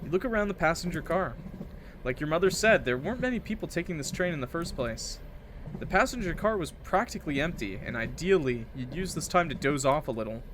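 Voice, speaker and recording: A man's voice: fairly high pitch, animated, fairly quick, noisy background. A man hosting a visual novel let's play, reading aloud and breaking off for playful, theatrical asides. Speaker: gaming video host.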